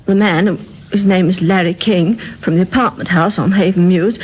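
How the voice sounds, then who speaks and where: An actress playing a hesitant young widow in an old radio drama, guarded and halting as she searches for words. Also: lowish voice, fairly even intonation, slightly rough. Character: hesitant young widow of a domineering older butcher. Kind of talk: old time radio acting. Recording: muffled, noisy vintage radio recording.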